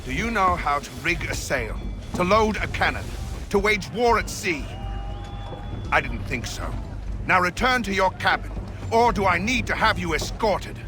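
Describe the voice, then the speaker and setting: Stern sea captain, strained high pitch, wide swings, barking, brusque, game battle scene with music. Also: male voice, big pitch swings, normal speed. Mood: scornful. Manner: barking, authoritative. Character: stern, commanding ship's captain, brusque and scornful. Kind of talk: video game cutscene acting.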